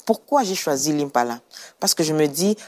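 A woman's voice: low-pitched, animated, normal speed, a little mellow. A middle-aged woman from Congo giving personal testimony as an interview guest, emphatic and repeating phrases for effect. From Kinshasa, Congo. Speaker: guest, clothing brand founder.

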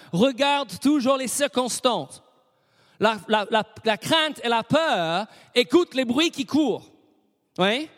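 High-voiced British pastor preaching in a hall. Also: male voice. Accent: English. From Britain. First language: English. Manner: leads the congregation in call-and-response, frequent amens. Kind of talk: sermon.

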